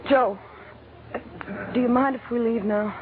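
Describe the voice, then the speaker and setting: An actress playing a sharp-tongued but naive young office girl in a 1940s radio drama, sassy and guarded, quick with retorts. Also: on the high side, steady tone, lightly acted. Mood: reserved. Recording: tinny, noisy vintage radio recording.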